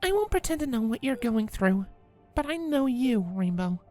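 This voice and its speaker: A woman voicing a gentle, sober young female pony, a soft-spoken and reassuring friend in a fan fiction audio drama. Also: a little high, sing-song, normal speed, faint music behind. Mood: gently consoling.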